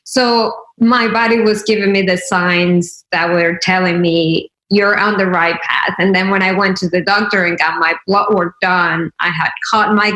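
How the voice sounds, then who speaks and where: A woman's voice: on the low side, steady tone, measured pace, hardly any pauses, bright, forceful tone. A woman from Venezuela speaking as an interview guest, telling personal stories in a conversational, earnest and explanatory way. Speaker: interview guest, wellness consultant. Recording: roomy, echoing sound.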